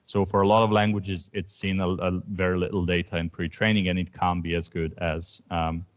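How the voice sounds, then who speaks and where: Man, native Croatian speaker, academic lecture, low voice, hesitant ums, muffled recording. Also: little pitch movement, fairly quick. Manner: thoughtful, frequent ums and restarts. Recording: dull lo-fi recording.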